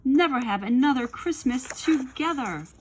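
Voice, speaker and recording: A woman voicing an anxious, excitable male toad in a children's storybook, exclaiming and questioning with urgency. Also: high-pitched, moderate pace, a little hiss or hum, pitched above the performer's own voice. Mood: worried, fretful. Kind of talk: children's storybook read-aloud.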